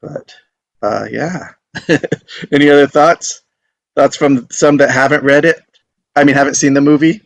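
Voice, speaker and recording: A man hosting a casual group discussion after a script reading, talking in a halting, loosely worded, appreciative way. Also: fairly high pitch, vocal fry, dry recording. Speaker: table read host.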